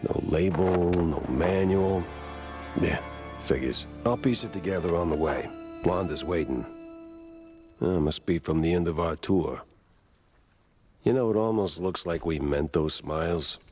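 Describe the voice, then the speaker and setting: Very deep weary noir detective. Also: male voice, unhurried, pauses often, over music. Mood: musing, wry. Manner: deadpan, wry. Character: world-weary, sardonic hardboiled mouse detective, war veteran. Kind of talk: 1930s noir cartoon game acting and narration.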